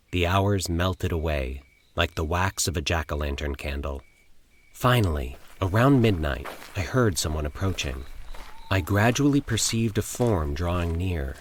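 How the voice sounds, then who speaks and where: A man reading a scripted first-person horror story in a formal, literary, measured manner. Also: deep voice. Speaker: narrator of horror fiction.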